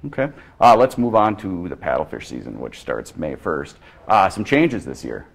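A middle-aged man hosting a studio interview, asking brief steady questions. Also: deep voice, moderate pace, gravelly. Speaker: webcast host. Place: studio, seated before backdrop.

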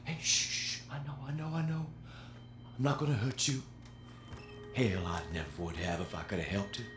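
An actor playing a weary, remorseful middle-aged father from a video game, with an American Southern accent and a hushed, halting, earnest delivery. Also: on the low side, fairly even intonation, echoey room, over music, lightly acted. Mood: soothing, regretful.

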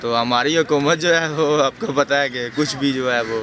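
A man's voice: mid-range voice, moderate pace, crowd noise. A young man, a taxi driver who keeps leaning on the same filler phrase in an outdoor interview. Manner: leans heavily on the filler "jo hai woh". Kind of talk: documentary interview. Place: outdoors near a mosque. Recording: clear outdoor traffic noise.

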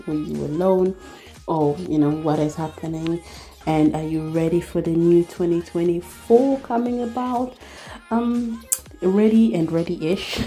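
A young woman vlogger chatting casually in a voice-over, easygoing and laughing often. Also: on the low side. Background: soft background music.

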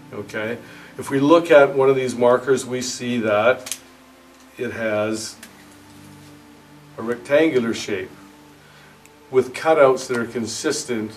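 A man in his fifties giving a measured, explanatory product demonstration as a diving instructor, speaking outdoors. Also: on the low side, distant mic, a little hiss or hum, low-quality recording. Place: outdoors at a cave mouth by water.

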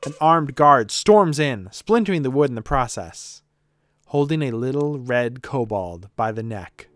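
A woman's voice: rumbling low, lively intonation, a little slow, a little hiss or hum. A woman narrating a scripted fantasy comedy podcast.

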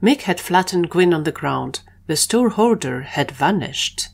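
A woman's voice: lowish voice, expressive, measured pace, creaky. A woman reading scripted narration for an audio adventure story.

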